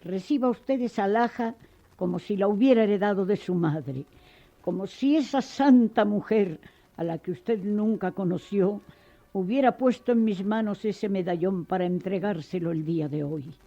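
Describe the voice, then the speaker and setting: Casting: woman as kindly, mature schoolteacher, warm mellow voice, gentle formal melodrama, dull vintage-style radio sound. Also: medium pitch, normal speed, lightly acted. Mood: earnest, maternal. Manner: gentle, maternal, earnest, formal. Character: kindly, forgiving older female schoolteacher. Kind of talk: melodramatic radio soap acting. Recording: dull, band-limited radio drama recording with music.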